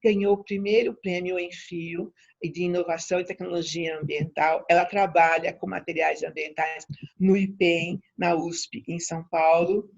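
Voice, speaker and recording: A Brazilian woman giving an informal public lecture as a scientist, in an emphatic, theatrical and ironic manner, with a husky, creaky voice. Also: fairly low pitch, fairly even intonation, normal speed. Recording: dull, lo-fi audio.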